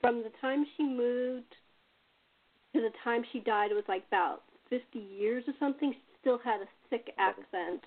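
A woman from California chats casually in a fairly high voice. She tells anecdotes in a halting, conversational way. The sound is thin and narrow, like a phone call. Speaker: radio playwright in a cast chat. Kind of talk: casual chat, telling family anecdotes.

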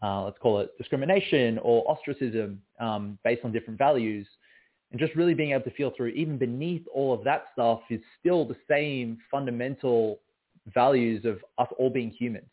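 A man's voice: medium pitch, normal speed. A man, a guest poet answering interview questions in a reflective, searching way. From Australia. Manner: reflective, many fillers and restarts. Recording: phone-like narrow sound.

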